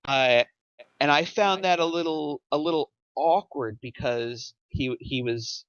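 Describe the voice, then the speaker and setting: A man giving casual, analytical script feedback in a halting way, repeating and reworking his phrases as he thinks aloud. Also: on the high side, fairly bright tone, clear, resonant tone, no room sound. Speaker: table read participant giving script feedback. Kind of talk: casual script critique discussion. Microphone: online voice call. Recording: muffled lo-fi voice chat audio.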